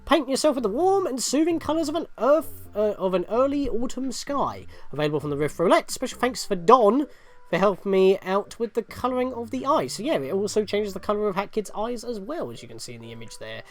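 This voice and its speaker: A man's voice: sits high, very animated, a little fast. A man hosting a gaming video with casual, rambling let's play commentary and chatty asides.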